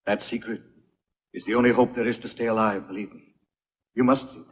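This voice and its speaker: An actor playing a dignified captive king and protective father in a dubbed historical film, speaking gravely and with measured care. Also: lowish voice, steady tone, close to an everyday voice. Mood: earnest, resigned. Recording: dull dubbed film soundtrack with music.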